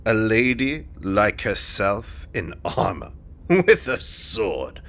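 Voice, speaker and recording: A man's voice: lowish voice, sing-song, takes it slowly, fairly bright tone, full character voice. A man playing a haughty, disdainful king in a fantasy audio drama, with a pompous, condescending manner. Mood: smug, scornful. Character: haughty, disdainful king, imperious and old-fashioned.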